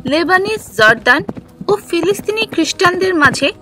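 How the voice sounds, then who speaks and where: A woman narrating a scripted children's history story in a simple, clearly phrased storybook manner. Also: very high-pitched, animated, normal speed.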